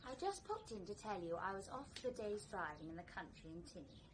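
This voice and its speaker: A woman's voice: mid-range voice, very animated, quick pace, natural voice. An actress playing a self-assured, forthright young upper-class English woman in a vintage radio drama. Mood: breezy. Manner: breezy, forthright. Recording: noisy vintage radio recording.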